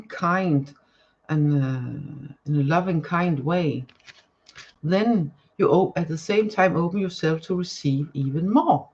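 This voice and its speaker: A woman with a Danish accent, whose first language is Danish, giving a live tarot reading to viewers and often pausing to search for words. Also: on the low side, highs cut off. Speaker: tarot card reader. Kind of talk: live-stream card reading, chatting to viewers.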